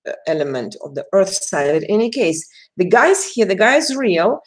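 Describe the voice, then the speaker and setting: A woman with a Russian accent hosts a casual live stream and reads tarot cards for her viewers. She chats freely, laughs often and greets people by name. Her pitch sits in the middle range. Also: very animated, normal speed. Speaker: tarot reader.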